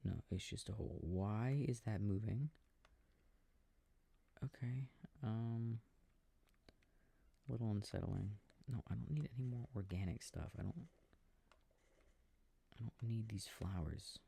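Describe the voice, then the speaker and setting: A man speaking softly and breathily, often near a whisper, quietly musing to himself in an ASMR sleep-aid style while playing a video game. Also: fairly low pitch, measured pace, vocal fry, pauses often, breathy edge. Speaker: audio roleplay performer. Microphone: close microphone. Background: game controller clicking.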